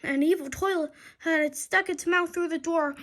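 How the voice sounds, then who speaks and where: A woman's voice: extremely high pitch, steady tone, normal speed, fairly bright tone. A woman reading a children's chapter book aloud dramatically, stumbling over words and rereading them. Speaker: storybook reader.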